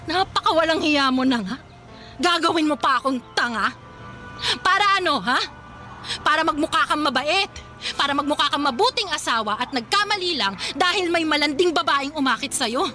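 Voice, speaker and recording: High-pitched, furious scorned woman. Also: expressive, normal speed, bright, forceful tone, faint music behind. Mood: hurt, indignant. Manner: confrontational, sarcastic, emotional delivery. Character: scorned, furious ex-mistress, wounded but proud woman. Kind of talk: Filipino radio drama acting.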